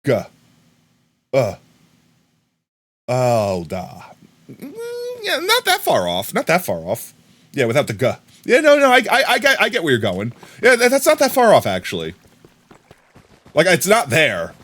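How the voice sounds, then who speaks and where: An American man chatting casually on a live stream, rambling and bursting into excited shouts of thanks to viewers. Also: a little high, a little slow, long pauses. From United States. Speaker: livestreamer.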